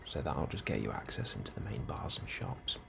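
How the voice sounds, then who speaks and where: A man in a soft, hushed ASMR role-play, speaking calmly and unhurriedly as a helpful colony immigration officer, close to the microphone. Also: extremely low pitch. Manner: hushed, unhurried, sometimes dropping into whisper. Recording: clearly audible background ambience noise.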